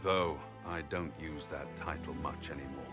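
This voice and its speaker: Deep-voiced weary, resolute champion. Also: male voice, fairly even intonation, moderate pace, over loud music. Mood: weary, reflective. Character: weary, resolute male champion burdened by duty. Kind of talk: dramatic video game cutscene acting.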